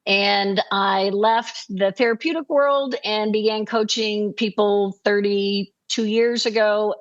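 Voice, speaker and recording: Upbeat sixties woman, podcast guest coach, talkative. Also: medium pitch. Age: 60s. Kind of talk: casual podcast chat.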